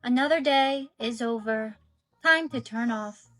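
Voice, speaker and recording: A woman's voice: on the high side, a little slow, a bit bright, dry recording, soft background music. A woman voicing a naive, curious robot girl in a short skit, plain, literal and questioning. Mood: restless. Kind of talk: short comedic skit acting.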